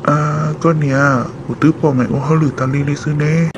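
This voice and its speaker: A man voicing a male character in an amateur animated cartoon drama. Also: a little high, fast talker, dull audio, background noise, close to an everyday voice. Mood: conversational. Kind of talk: hobby animated cartoon dialogue.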